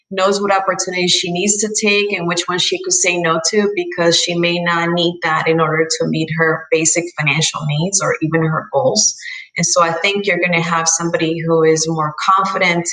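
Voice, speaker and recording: A woman's voice: lowish voice, sharp tone. Guest woman wealth advisor, earnest and encouraging, recorded away from the mic in an echoey room. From Colombia. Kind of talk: podcast interview. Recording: roomy echo, distant mic.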